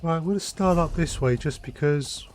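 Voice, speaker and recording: A man's voice: fairly high pitch, moderate pace, darkish tone, a little hiss or hum. A man with a British accent giving casual live gameplay commentary, thinking aloud in short remarks. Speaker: game streamer. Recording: game music behind the voice.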